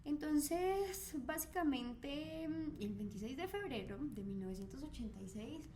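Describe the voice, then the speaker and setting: A woman's voice: high voice, lively intonation, unhurried, warm tone, a little hiss or hum. A woman, recounting a crime case on a chat podcast with frequent fillers. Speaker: co-host.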